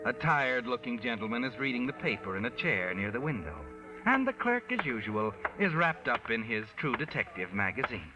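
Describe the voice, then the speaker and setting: A man's voice: medium pitch, sing-song, brisk, muffled recording, close to an everyday voice. A man playing a sinister, mocking narrator of a 1940s American radio mystery, telling the tale with knowing menace. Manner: knowing, mocking second-person storytelling. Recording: vintage 1940s radio, distant, music behind.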